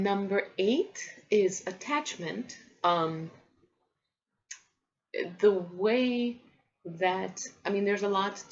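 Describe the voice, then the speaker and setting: A woman in her thirties whose first language is Polish, talking casually to camera about parenting with many pauses and ums. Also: on the low side, dull audio. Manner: thoughtful, many ums and restarts. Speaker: parenting vlogger. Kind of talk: casual vlog talk to camera.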